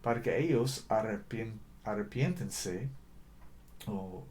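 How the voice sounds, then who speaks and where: A man preaching a sermon in a language that is not his first, earnest and deliberate, often correcting himself mid-sentence. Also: on the low side, animated, a little mellow, slight background noise. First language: not Spanish.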